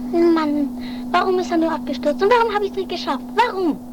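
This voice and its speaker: A woman's voice: very high-pitched, brisk. A woman in an amateur radio play voicing a distressed, pleading climber, plaintive and repeating phrases. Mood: relieved, grieving. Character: distressed, pleading climber, emotional and easily exhausted. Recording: homemade radio play under loud music.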